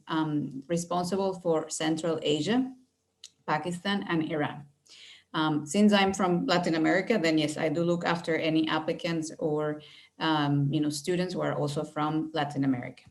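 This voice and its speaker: Woman from Venezuela hosting a webinar. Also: sits low. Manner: friendly, measured, with frequent fillers. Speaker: university recruitment director. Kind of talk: online webinar presentation. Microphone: video call.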